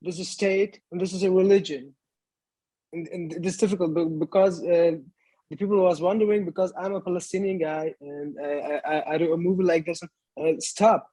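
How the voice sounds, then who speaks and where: A man in his twenties from Lebanon, an actor speaking in a panel conversation in halting English, often repeating words as he searches for them. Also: sits high, fairly even intonation, mellow, no room sound, low-quality recording. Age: 20s.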